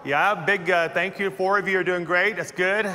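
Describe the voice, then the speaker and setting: A man's voice: high voice, fairly even intonation, normal speed, crowd noise. Middle-aged guy preaching from a big church stage, kinda jokey, long reverb in the room. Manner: chatty and joking, laughing at his own jokes. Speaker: pastor. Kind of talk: sermon. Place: large church stage indoors.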